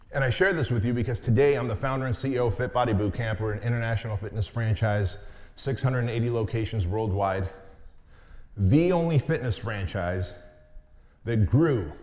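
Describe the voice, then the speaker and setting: A man's voice: on the low side, darkish tone. A man in his forties giving a motivational talk, telling his life story in a candid, conversational way. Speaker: motivational speaker. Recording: long hall reverb.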